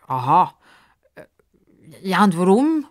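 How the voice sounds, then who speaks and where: A woman's voice: on the low side, sing-song, takes it slowly. Woman as an eager, impatient Swiss German girl. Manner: direct, questioning.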